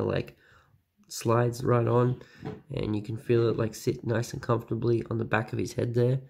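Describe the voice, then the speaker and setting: A young man speaks with a stuffed-up, nasal voice, the sound of a head cold. His tone is gravelly and sits at an ordinary pitch. He chats in an eager, rambling way, full of ums, close to a camera in a small room at home. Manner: excited, chatty, many fillers. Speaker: toy reviewer. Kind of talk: casual review vlog.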